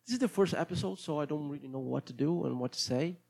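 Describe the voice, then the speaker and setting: Gravelly-voiced Brazilian man rambling casually. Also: fairly high pitch, fast talker, a little mellow. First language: Portuguese. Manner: hesitant, rambling, many restarts. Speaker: podcast host. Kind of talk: casual solo podcast monologue.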